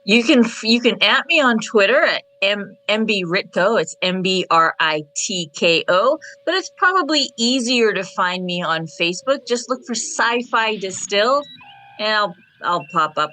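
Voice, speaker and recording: Bright-voiced, upbeat woman. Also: mid-range voice, lively intonation, moderate pace, low-quality recording. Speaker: podcast co-host, show guest. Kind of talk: casual promotional radio chat.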